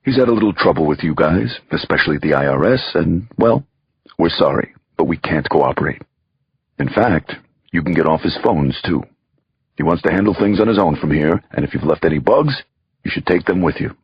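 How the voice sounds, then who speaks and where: Man voicing a deep-voiced Brooklyn lawyer. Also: expressive, normal speed, slightly rough, no room sound, dull audio, heavily acted. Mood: smooth, firm. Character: brash blue-collar Brooklyn male lawyer, blunt and cocky. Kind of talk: audiobook character acting.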